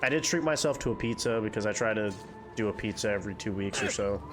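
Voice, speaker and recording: Brief: young male gaming host, low-ish voice, congested, rambling casual chat, music under. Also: fast. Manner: chatty, rambling, candid. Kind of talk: casual chat during a game playthrough.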